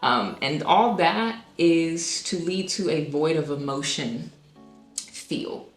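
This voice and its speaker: A young woman, a singer-songwriter, casually explains her own song with many ums and restarts and now and then sings a note; her voice is low. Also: slow pace, reverberant, soft background music. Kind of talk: casual music breakdown.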